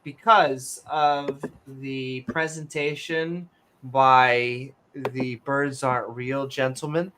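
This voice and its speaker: A man co-hosting a casual late-night podcast, rambling and jumping between topics, his voice going hoarse. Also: mid-range voice, fairly even intonation, slow pace, background noise. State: losing his voice. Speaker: podcast co-host.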